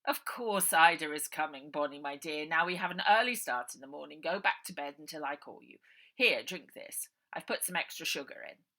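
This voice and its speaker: A woman's voice: low-pitched, animated, normal speed, sharp tone, heavily acted. A woman voicing a sinister middle-aged uncle in a storybook, pompous, condescending and menacingly polite. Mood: falsely kind.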